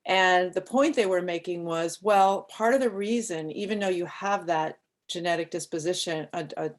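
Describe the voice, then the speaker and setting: A woman's voice: fairly low pitch, moderate pace. Chatty woman podcast host. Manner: chatty, enthusiastic, animated. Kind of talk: casual interview talk.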